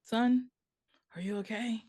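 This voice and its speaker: A man's voice: very high-pitched, steady tone, takes it slowly, darkish tone, heavily acted. A man playing a kindly, concerned elderly elf father in a live table read of a comedy film.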